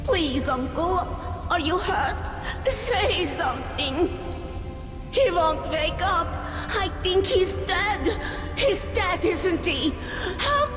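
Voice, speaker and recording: A woman's voice: very high-pitched, big pitch swings, takes it slowly, heavily acted. A woman voicing a plucky, naive little child in a video game, earnest and childlike. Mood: upset, scared. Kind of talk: video game cutscene acting. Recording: long reverb with music behind.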